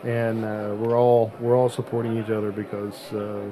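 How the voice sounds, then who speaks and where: Halting male podcast host, chatter behind him. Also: fairly low pitch, level tone, dark tone, low-quality recording. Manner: halting, searching for words. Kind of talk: casual podcast chat.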